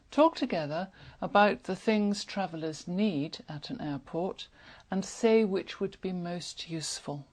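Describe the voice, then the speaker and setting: A woman examiner in a speaking exam, asking questions and reading out task instructions in a measured way. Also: on the low side, darkish tone. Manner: measured, reads instructions evenly.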